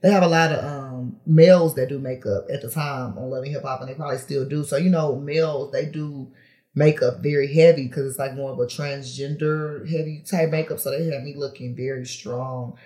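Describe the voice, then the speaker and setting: A woman's voice: rumbling low, steady tone, normal speed, warm tone. Chatty woman in her thirties, echoey room. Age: 30s. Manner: candid and chatty, laughs often, lots of fillers. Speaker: podcast guest, reality TV personality. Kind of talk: casual interview chat.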